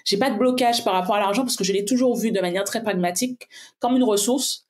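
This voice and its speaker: A woman finance coach speaks as a guest in a relaxed conversation, working through her ideas aloud. She is pragmatic and reflective, often restarting her sentences as she thinks, and her voice sits at a middle pitch. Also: fast talker. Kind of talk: casual conversation.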